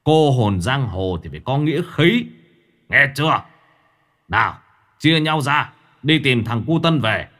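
A man's voice: mid-range voice, animated, a little slow, pauses often, pushed and bright, voice pushed up. A man voicing an older male ghost who leads his band with a firm sense of honor. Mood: commanding. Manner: firm, commanding.

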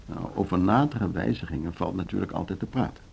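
An actor in a Dutch radio play playing a middle-aged board chairman, formal, deliberate and courteous but unbending, with a Netherlands accent. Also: sits low, vocal fry, a little mellow, muffled recording, slight background noise. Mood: courteously firm. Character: measured, legalistic male board chairman of a music school.

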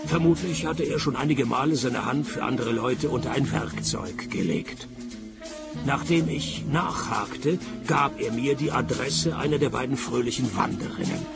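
Sardonic male private eye for radio drama, acted by a man: gravelly, creaky voice, laconic deadpan delivery, music behind. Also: medium pitch, moderate pace, close to an everyday voice. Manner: deadpan, dry wit. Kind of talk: radio play first-person narration and dialogue.

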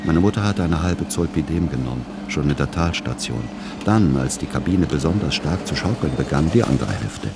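Super low voice, dry weary writer narrating a radio play, noisy ambience behind. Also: male voice, animated, moderate pace, gravelly, hardly any pauses, full character voice. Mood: wry, measured. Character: sardonic, world-weary writer narrating as a son. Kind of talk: literary first-person radio play narration.

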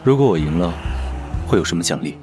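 Audio drama: defiant, calculating young male gambler, low register, varied intonation, measured sarcasm. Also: animated, music in the background. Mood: wary calculation. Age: young adult. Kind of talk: Mandarin audio drama acting.

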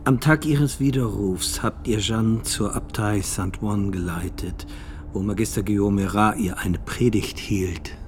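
A stern male interrogator puts formal questions to a witness in a radio play. His voice sits low, with a faint hiss behind it. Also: measured pace. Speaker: interrogating judge.

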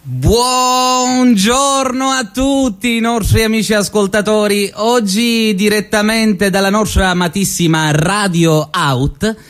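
A man's voice: takes it slowly, few pauses, a bit bright, faint music behind. A man playing a sarcastic radio host. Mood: mocking. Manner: ironic, theatrical storytelling, swinging pitch. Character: sarcastic young male radio host mocking a mafia town. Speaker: actor in a one-man radio play. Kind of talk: stage monologue as pirate radio broadcast.

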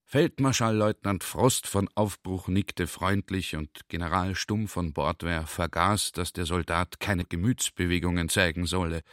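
A man's voice: sits low, a little slow, few pauses, fairly bright tone, dry recording, clean studio sound. A man reading literary narration for a radio play, with stretches of dialogue. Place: dry radio studio.

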